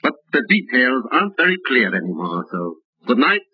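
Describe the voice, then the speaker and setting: An actor playing a reserved, kindly older plant boss in a 1940s radio drama, speaking in a measured, courteous way. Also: male voice, medium pitch, sing-song, breathy edge. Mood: reflective, amused. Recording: dull, muffled old radio recording.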